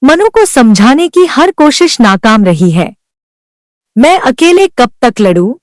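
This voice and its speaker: A worn-down young village wife. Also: female voice, high-pitched, sing-song, takes it slowly, dry recording, clean studio sound, full character voice. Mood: pleading. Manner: pleading, earnest. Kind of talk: Hindi cartoon voice acting.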